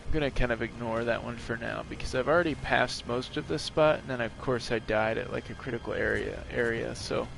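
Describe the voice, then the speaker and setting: A man with a hoarse voice from a recent illness, chatting casually while streaming a game and explaining it to a viewer. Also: medium pitch, fairly quick, hardly any pauses, a bit bright, a little hiss or hum. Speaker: gaming streamer. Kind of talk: casual live gameplay commentary.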